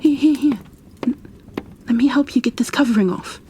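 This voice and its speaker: A woman playing a kind, flustered young bookkeeper in a fantasy ASMR roleplay, speaking softly and breathily, often near a whisper, with gentle hesitations and apologies. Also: sits high, normal speed, slight background noise, natural voice. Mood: worried, caring. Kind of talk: ASMR roleplay, addressed to the listener.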